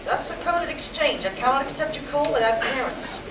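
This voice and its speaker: A woman's voice: high-pitched, animated, a little fast, crowd noise. A woman playing an officious, rule-bound telephone operator in a live sci-fi radio play. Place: live convention performance, recorded from the room. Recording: echoey, distant, very noisy room recording.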